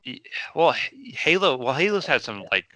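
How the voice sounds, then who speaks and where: A man's voice: mid-range voice, very animated, bright, forceful tone, no echo. Man, podcast co-host, storytelling, steers topics, dry close sound. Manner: steers the conversation, tells quick stories. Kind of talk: casual chat.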